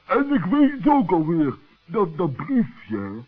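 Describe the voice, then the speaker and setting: A dim, nervous badger stammers in a high voice that swings up and down. He is slow, muddled and whiny. The children's radio-play recording is old and very narrow, like a phone. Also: wildly swooping pitch, breathy edge, heavily acted. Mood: sleepy, muddled. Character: timid, dim, sleepy male badger. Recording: thin, narrow-band vintage radio recording.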